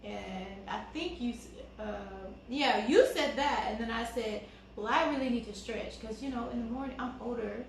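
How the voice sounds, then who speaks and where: A woman co-hosting a casual couple chat, with a calm, soft-spoken way of talking. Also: a little high, normal speed, vocal fry. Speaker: co-host. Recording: very echoey room with faint background noise.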